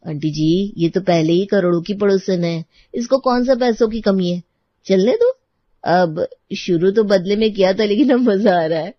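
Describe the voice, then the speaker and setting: A woman voicing a sly, teasing young housewife in an animated family drama, with a sarcastic manner. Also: medium pitch, normal speed, pauses often, no echo, highs cut off, natural voice. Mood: mocking.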